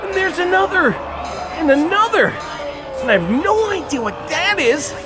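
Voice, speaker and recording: A man's voice: very high voice, sing-song, normal speed, music in the background, a crowd in the background. An actor playing a terrified, babbling, whiny man in an audio drama. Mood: frightened awe. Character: panicky, terrified adult farm visitor, a man.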